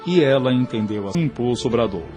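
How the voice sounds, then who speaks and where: A man's voice: medium pitch, lively intonation, a little fast, loud music behind the voice. A man with a Brazilian accent reading scripted, dramatic narration for an audio novel.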